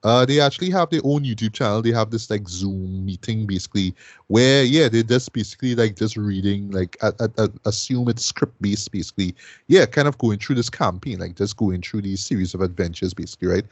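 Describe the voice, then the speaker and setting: A man's voice: fairly low pitch, fairly quick, no echo. A man from Trinidad, casually reviewing a show as a podcast host, rambling with lots of fillers and "right?" tags.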